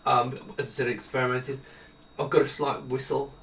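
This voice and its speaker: A man's voice: mid-range voice, little pitch movement, moderate pace, a bit bright, slight background noise. A man giving a casual, off-the-cuff tech demonstration, thinking aloud in a rambling way. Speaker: product demonstrator. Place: indoor room with retro computers. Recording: roomy echo, distant mic, some music.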